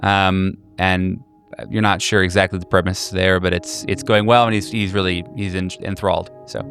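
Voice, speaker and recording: A man running a tabletop game, narrating off the cuff in a casual, halting, easygoing way. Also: low voice, little pitch movement, a bit bright, soft background music. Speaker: game master.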